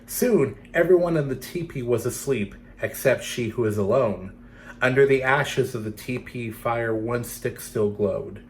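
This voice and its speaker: A man storyteller reading expressively for children, with dramatic, deliberate phrasing that addresses young listeners. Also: medium pitch, a little hiss or hum. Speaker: storyteller for children's theatre series.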